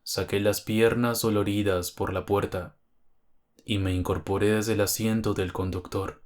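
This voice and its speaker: Low-voiced man narrating a horror story. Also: level tone, unhurried. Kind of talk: scripted first-person horror story narration.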